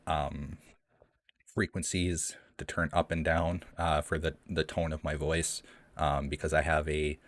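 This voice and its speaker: A young man with an extremely deep voice talks to his viewers in a rambling livestream Q&A. He says "um" often and restarts his sentences. The sound is dry and close, and his voice trembles slightly in a cold room. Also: level tone, a little slow, dry recording. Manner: rambling, many ums and restarts. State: shaky voice from the cold. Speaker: streamer hosting a live Q&A. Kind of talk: casual livestream chat. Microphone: home streaming mic.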